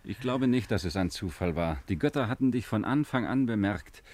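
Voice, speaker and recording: A man's voice: fairly low pitch, expressive, fairly quick, darkish tone. A man playing a deferential, devout attendant to an emperor in a 1950s radio drama, earnest and respectful. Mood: reverent.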